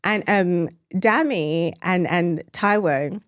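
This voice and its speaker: A woman's voice: on the low side, slow pace, dry recording. Effusive woman podcast host, close and dry. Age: 30s. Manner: effusive, complimentary. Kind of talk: casual chat.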